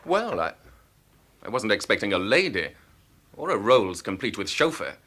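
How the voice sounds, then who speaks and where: An actor playing a wary, sardonic fugitive in a radio drama, smooth and dryly ironic. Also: male voice, fairly low pitch, very animated, normal speed, a bit bright, heavily acted. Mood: wry, questioning. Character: suave, wary male fugitive, sardonic under pressure. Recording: faintly noisy radio drama, vehicle sounds.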